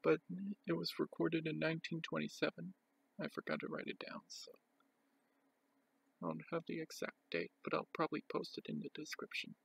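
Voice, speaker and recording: A man presenting items from a collection in an unscripted show-and-tell, with a plain, halting, itemising delivery. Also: a little high, completely flat delivery, gravelly, fairly bright tone, breathy edge, poor audio.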